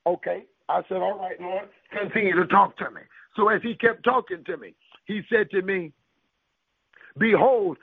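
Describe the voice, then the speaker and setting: A man's voice: high-pitched, vocal fry, husky, dry recording. A man preaching a sermon with emphatic call-and-response and scripture quotations. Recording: tinny phone-like radio broadcast.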